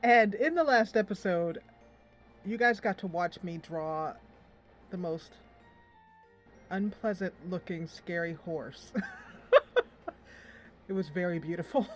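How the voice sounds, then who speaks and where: A woman hosting a casual let's play, thinking aloud in a playful, giggly way as she comments on the game. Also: mid-range voice. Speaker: host of a gaming channel.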